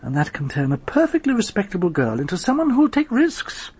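A man's voice: high voice, wildly swooping pitch, fairly quick, darkish tone, slightly rough, heavily acted. An actor playing a discreet, knowing shopkeeper in a radio drama, a man with a confiding, insinuating manner.

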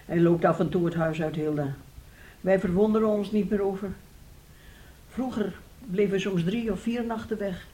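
An actress playing a troubled, soothing aunt in a Dutch radio play, telling things in a halting, emotive way. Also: female voice, mid-range voice, warm tone, natural voice, low-quality recording. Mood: gentle, resigned. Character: troubled, soothing aunt and mother of a disturbed boy.

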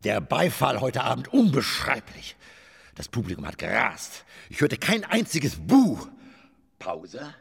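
An actor in a radio drama playing a melancholy, self-absorbed stage actor who declaims and swings between whispers and outbursts. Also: male voice, mid-range voice, huge pitch swings, measured pace, vocal fry, full character voice. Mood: boastful, distracted. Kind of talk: German radio drama monologue.

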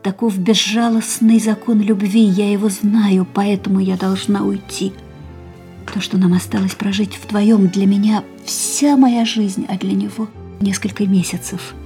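An actress playing a young woman in a radio play, speaking an inner monologue in a soft, often whispered voice. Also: mid-range voice, level tone, unhurried, soft background music, natural voice. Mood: quiet resolve. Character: young woman, fragile, resolved, inward. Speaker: heroine voicing inner monologue.

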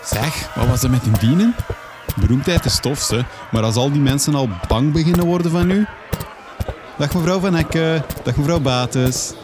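A man plays a bewildered Flemish journalist in a radio comedy. His voice is on the high side and polite, with clear noise around it. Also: normal speed, pauses often, lightly acted. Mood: puzzled, polite. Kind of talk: comic radio drama acting.

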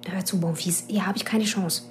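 A woman's voice: lowish voice, fairly even intonation, normal speed, a little hiss or hum, natural voice. An actress playing a tough, guarded young policewoman who answers in terse, controlled phrases in a radio drama. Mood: urgent. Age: young adult.

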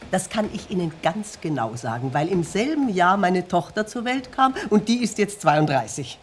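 An actress in a radio play as a sociable, concerned middle-aged country innkeeper, polite and chatty. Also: female voice, fairly low pitch, very animated, normal speed, slight background noise. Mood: reminiscing.

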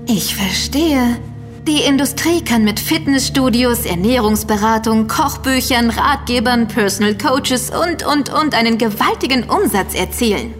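An actress playing a quick-witted, knowing female investigator in a German audio drama, with an explanatory way of talking. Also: fairly high pitch, lively intonation. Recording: reverberant hall with music underneath.

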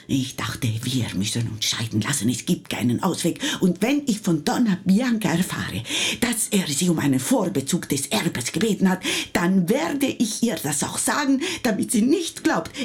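An upset Italian restaurant wife in a radio play. Her Italian-accented voice swings widely from pleading to agitated, often crackling into fry. She is emotional and exclaims freely. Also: medium pitch, darkish tone. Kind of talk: German radio play dialogue.

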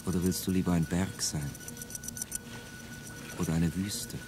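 A man's voice: low voice, fairly even intonation, unhurried. An actor playing an embittered male revolutionary in a classic radio drama, brooding and swelling into bitter outbursts, with music behind him.

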